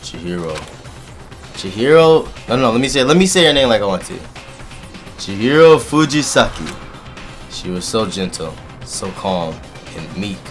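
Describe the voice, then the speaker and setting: A man with a deep voice doing animated, joking let's play commentary and talking straight to his audience. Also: normal speed, long pauses, faint music behind. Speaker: gaming YouTuber.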